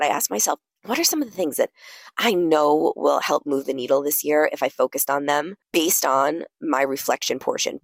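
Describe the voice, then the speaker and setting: A hoarse woman hosting a motivational podcast, her voice low and full of fry from being under the weather. She sounds upbeat and energetic and talks straight to her listeners. The recording is close and dry. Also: no echo. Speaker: podcast host. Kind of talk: motivational self-help talk.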